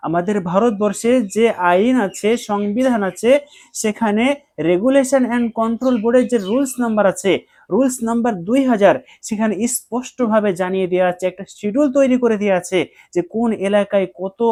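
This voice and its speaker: A man's voice: high-pitched, normal speed, darkish tone. A man giving explanatory commentary to viewers, emphatic and repeating his points.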